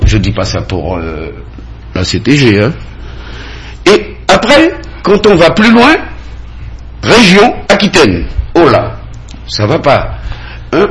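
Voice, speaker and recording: Man, husky, lively pitch, insistent debate candidate on radio. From French Guiana. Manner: insistent, emphatic, repeats phrases to drive points home. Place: radio studio.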